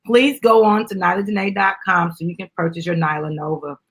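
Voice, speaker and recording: A woman's voice: on the low side, steady tone, fairly quick, fairly bright tone, slightly rough. Chatty woman hosting a live stream. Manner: chatty, addresses viewers as guys. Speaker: host of a children's book live stream, mother of the child. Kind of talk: casual live-stream promotion and chat.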